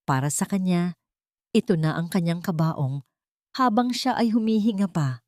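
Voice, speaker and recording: A woman narrating a dramatic audio story in a scripted, expressive storytelling manner. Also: low voice, no echo, studio quality.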